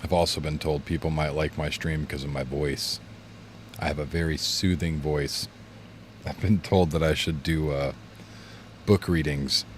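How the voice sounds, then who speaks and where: A man's voice: a bass voice, steady tone, measured pace, slight background noise. A man streaming games and chatting casually with viewers, laid-back and rambling, his chest congested from a recent illness. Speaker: game streamer. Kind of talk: casual livestream chat. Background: fan blowing near the mic.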